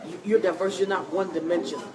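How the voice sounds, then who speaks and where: A woman hosting an interview in a coffee shop, animated and preachy, encouraging her guest. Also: fairly low pitch, fast. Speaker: host. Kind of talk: interview chat. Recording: distant mic in busy cafe. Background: cafe crowd chatter.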